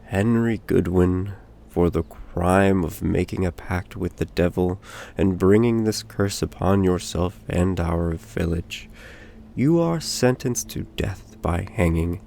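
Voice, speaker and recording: A man's voice: sits low, slow, gravelly, mellow, a little hiss or hum, full character voice. A man voicing a stern village leader in a storybook tale, solemn and commanding as he accuses and condemns. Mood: commanding, condemning.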